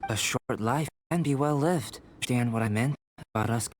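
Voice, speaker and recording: An actor playing a calm, scholarly young man, an alchemist in a video game, speaking with contemplative, precise formality. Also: mid-range voice, normal speed, a little hiss or hum, lightly acted. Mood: philosophical. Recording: music behind the voice.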